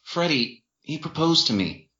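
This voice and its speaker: Man playing a heartbroken young man, distressed, protesting, higher pitch, audiobook character, slightly dull sound. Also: sing-song, measured pace, sharp tone, roomy sound, exaggerated voice.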